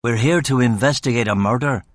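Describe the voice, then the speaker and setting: A man voicing a gruff, weary male police inspector who speaks in a curt, sardonic way. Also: medium pitch, fairly quick, no room sound, natural voice.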